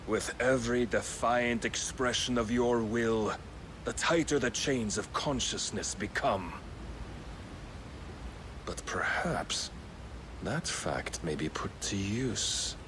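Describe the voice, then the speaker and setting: An actor playing a zealous, superior servant of a god in a video game, with archaic, measured, sermonizing speech. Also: male voice, medium pitch, noisy background, close to an everyday voice. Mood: coldly ominous. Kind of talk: dramatic video game cutscene acting.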